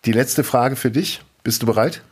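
A man's voice: medium pitch, moderate pace, slightly rough. A man co-hosting a casual podcast and running a quiz, chatty and rambling, often laughing as he talks. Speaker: podcast co-host and quizmaster.

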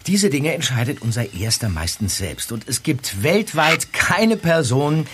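An actor playing a level-headed young detective sidekick in a German audio drama, questioning and wry. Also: male voice, medium pitch, big pitch swings, unhurried, noisy background. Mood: wry, apologetic.

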